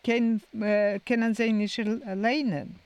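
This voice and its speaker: Hesitant interviewer, middle pitch, dry close sound. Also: level tone, measured pace, a little mellow, clean tone, no room sound. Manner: hesitant, repeating words. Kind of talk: casual conversation.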